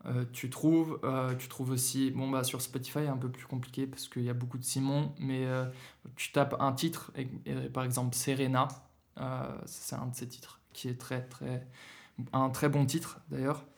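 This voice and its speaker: A young man from Germany, a guest musician in a casual podcast interview, talking in a relaxed, slangy way with many fillers and restarts. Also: mid-range voice, moderate pace, gravelly.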